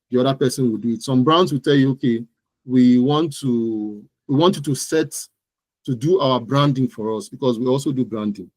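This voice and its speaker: A Nigerian man speaks as an interview guest about his work. His voice sits at a middling pitch in a dry recording, and he stresses points by repeating his phrases. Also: darkish tone, dry recording. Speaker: interview guest, digital marketing entrepreneur. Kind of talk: conversational interview about own business.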